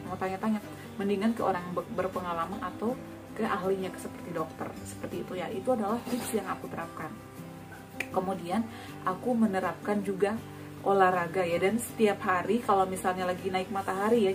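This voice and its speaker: A woman's voice: mid-range voice, far from the mic. A woman in her thirties casually sharing a personal story, chatty, with frequent tag words and hesitations.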